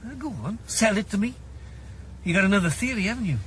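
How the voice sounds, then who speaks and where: A man's voice: high-pitched, quick pace, a bit bright, a little hiss or hum. A man, playing a blunt, skeptical sidekick in a radio drama.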